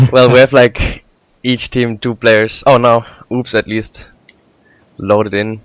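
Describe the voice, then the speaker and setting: Young guy co-casting dota, halting with lots of uhs, smooth voice. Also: medium pitch, fairly even intonation, no room sound, low-quality recording. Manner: hesitant, halting, many fillers. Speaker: esports co-caster. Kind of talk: casual game commentary.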